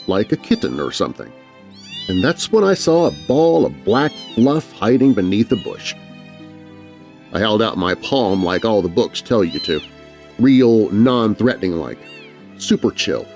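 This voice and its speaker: A man tells a children's story in the first person over faint music. His delivery is very animated and conversational, as if confiding in the listener, with a mid-range voice. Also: mid-range voice. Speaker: audiobook narrator.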